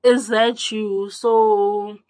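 A congested young woman chatting to camera in a small room, her voice rising and then dropping to a low murmur. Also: little pitch movement, a little mellow. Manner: chatty, with drawn-out words and sudden drops to a low murmur. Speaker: vlogger. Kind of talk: casual direct-to-camera chat.

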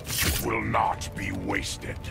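A man voicing a savage, boastful monstrous warrior in a video game, with a gravelly, menacing snarl. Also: slow, close to an everyday voice. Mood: defiant. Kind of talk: video game character acting. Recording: loud music behind.

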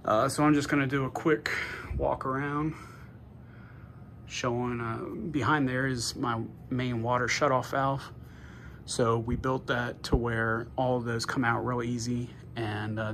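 Man with an even, offhand delivery. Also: medium pitch, a little slow. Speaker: homeowner giving a video tour. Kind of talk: casual walk-through vlog narration. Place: finished basement game room. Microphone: handheld phone, behind the camera. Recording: noisy indoor phone video.

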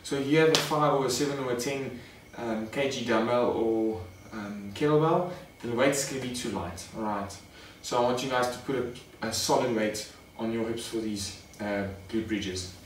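A man's voice: medium pitch, normal speed, few pauses. Echoey, far-off young male fitness coach. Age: young adult. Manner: casual, instructional, addressing viewers as guys. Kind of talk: informal workout demonstration. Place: garage home gym. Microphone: camera a few metres away. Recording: echoey garage, distant mic, faint noise.

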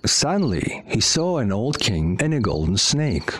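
A man narrating a scripted fairy tale with deliberate storytelling delivery, as if for children. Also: mid-range voice, sing-song, fairly bright tone, a little hiss or hum. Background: music.

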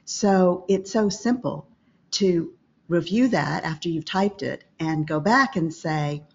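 Calm older woman coaching over a video call. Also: lowish voice. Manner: calm, measured and instructive. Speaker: podcast guest, business coach. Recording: slightly dull video-call audio.

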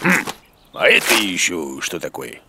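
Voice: deep voice, huge pitch swings, unhurried, background noise, exaggerated voice. An actor voicing a big bearded cartoon creature, blustering, naive and dramatic, swinging between grumbles and exclamations. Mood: puzzled grumbling. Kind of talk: children's cartoon voice acting.

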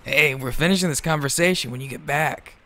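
Bright, higher-voiced teasing young man acted. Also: a little fast, slight background noise. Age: young adult. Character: cocky, teasing young man, a school dropout. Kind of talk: scripted audio drama.

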